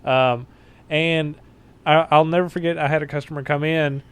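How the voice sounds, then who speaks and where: A man's voice: fairly high pitch, fairly even intonation, normal speed, a bit bright, clear, resonant tone. A man with a Southern US accent, a car salesman guest telling stories in a casual podcast chat, restarting his sentences often. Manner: friendly storytelling with many restarts. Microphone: home podcast mic, moves off-mic.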